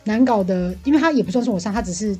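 一位年輕的台灣女性，台灣腔中文，像在播客裡閒聊抱怨，講話直白又愛感嘆。. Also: female voice, mid-range voice, animated, rapid-fire, mellow, soft background music. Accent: Taiwanese Mandarin. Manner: talkative, exclamatory, bluntly complaining. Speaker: podcast co-host venting about work. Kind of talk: casual chat.

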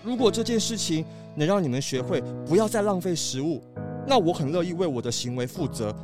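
Young male lemur voiced by a woman, sincere, principled, expressive melody, children's audio drama, music behind. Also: lowish voice, brisk, natural voice. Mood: resolute. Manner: sincere, heartfelt.

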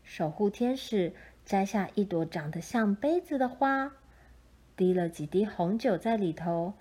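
A woman storyteller reads a children's tale from a script. Her voice is mellow and mid-pitched. She takes her time, keeping a gentle, measured delivery throughout. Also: lively intonation, slightly rough. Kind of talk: scripted children's picture-book narration.

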